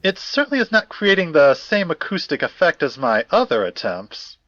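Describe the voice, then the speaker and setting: A fussy academic gentleman acted by a man speaks with ornate, courtly politeness and very animated pitch. He sounds slightly frayed, in an audio drama recorded dry and a little muffled. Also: moderate pace, no echo. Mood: puzzled, precise. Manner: formal, wordy, old-fashioned politeness.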